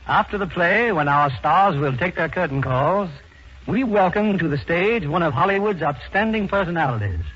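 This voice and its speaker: A man's voice: high voice, expressive, moderate pace, a bit bright. A man hosting a scripted 1940s radio program in a formal, polished manner. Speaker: host. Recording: muffled, noisy 1940s radio recording.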